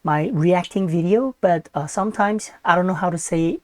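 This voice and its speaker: A Korean woman with a Korean accent, hosting a reaction video in halting English that slips into Korean when she searches for words. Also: on the low side, no room sound. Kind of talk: casual reaction commentary.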